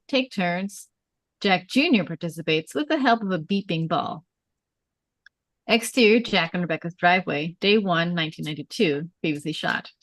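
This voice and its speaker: A woman's voice: lowish voice, no echo. A woman reading screenplay stage directions as scripted narration, in short clipped storytelling phrases.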